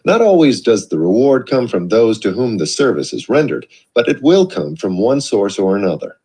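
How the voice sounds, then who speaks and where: A man narrates an audiobook with a husky, slightly breathy voice. His reading is measured and earnest. His pitch moves with plenty of life from phrase to phrase. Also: very animated, dark tone.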